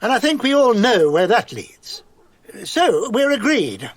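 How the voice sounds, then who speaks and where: An actor in a radio drama playing a pompous, scheming royal physician, haughty and deliberate. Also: male voice, extremely high pitch, big pitch swings, no room sound, voice pushed up, exaggerated voice. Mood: scornful. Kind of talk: British radio drama acting.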